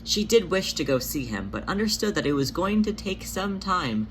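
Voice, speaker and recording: A narrator reading a fan story aloud in an expressive amateur style. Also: mid-range voice, normal speed, a little hiss or hum, rough recording. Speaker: podfic narrator and channel host.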